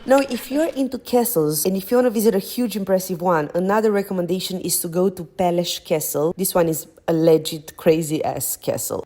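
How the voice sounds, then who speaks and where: A young woman narrates a travel vlog in a bubbly, gushing way. Her delivery is slangy and full of casual swearing. She speaks at a middling pitch, with faint music playing under her voice. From Romania. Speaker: travel vlogger.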